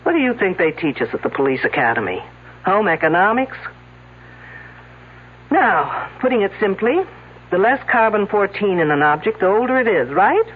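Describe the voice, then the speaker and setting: A woman's voice: mid-range voice, sing-song, moderate pace, slight background noise, close to an everyday voice. An actress playing a brash, shrewd woman detective in a radio drama, sardonic and commanding. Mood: mocking, confident. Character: brash, wisecracking female detective, shrewd and sarcastic. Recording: muffled old radio broadcast recording.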